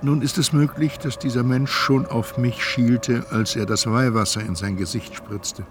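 A man playing a solemnly resigned, doomed fat man in a literary radio drama, speaking in a deliberate, formal, carefully articulated way. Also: mid-range voice, fairly even intonation, music in the background, close to an everyday voice. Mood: measured recollection.

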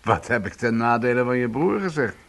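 A man's voice: medium pitch, expressive, fairly quick, fairly bright tone. A man playing a coolly insistent police detective in a Dutch radio play, curt, probing and formal.

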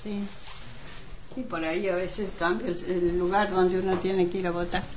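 Una mujer con acento argentino interpreta a una vecina hospitalaria en un radioteatro comunitario, con charla informal. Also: female voice, lowish voice, flat delivery, normal speed, close to an everyday voice. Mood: conversational. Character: hospitable neighbour woman hosting mate at home. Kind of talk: amateur community radio play, casual chat. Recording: muffled, noisy amateur recording.

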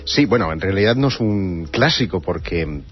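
Guest author, male, Catalan native, very deep and husky, measured. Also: expressive. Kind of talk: radio interview. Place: radio studio. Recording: dry lo-fi radio broadcast.

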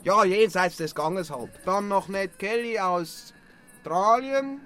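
A high-voiced male sheriff from a comic Western radio play. He is bossy and curt, handing out dictation with impatient, dismissive authority. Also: takes it slowly, noisy background.

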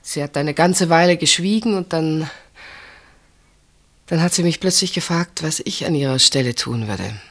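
An actress in a German radio play voicing an earnest, mature woman who speaks deliberately and confidingly, with weighted pauses. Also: low voice. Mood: earnest, grave. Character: earnest older woman, sister pleading for a marriage.

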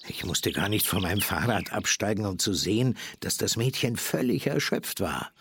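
An actor playing a genial, self-assured middle-aged Bavarian man, recalling events in homely detail in a radio play, with a husky, gravelly voice. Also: fairly low pitch, expressive, normal speed, a bit bright, a little hiss or hum. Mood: earnest, self-assured. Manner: chatty, detailed, homely storytelling. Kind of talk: German radio play, first-person recollection.

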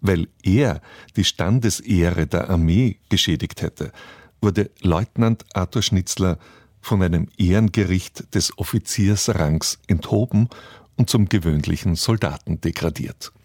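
Deep-voiced radio presenter, a man. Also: unhurried, a little mellow, no echo, clean studio sound. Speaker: radio presenter introducing a radio play. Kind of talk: scripted narration, literary introduction.

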